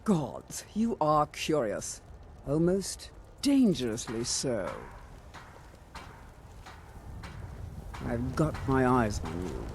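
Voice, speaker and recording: Slow-spoken, wary veteran bodyguard. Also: male voice, mid-range voice, big pitch swings, a little hiss or hum. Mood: suspicious. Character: wary, proud veteran bodyguard of a lord, male. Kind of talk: fantasy video game acting.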